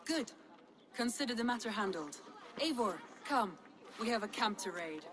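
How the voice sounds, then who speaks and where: Woman playing a high-voiced, spirited Viking woman. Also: expressive, moderate pace, natural voice. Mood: resolute. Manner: playful, confident, hearty. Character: Randvi, spirited bold Viking woman, teasing and adventurous. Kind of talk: dramatic video game acting.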